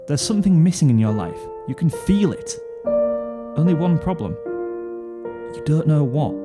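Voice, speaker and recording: Expressive man narrating over piano music. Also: a little high. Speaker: fan fiction narrator. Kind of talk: dramatic second-person story narration.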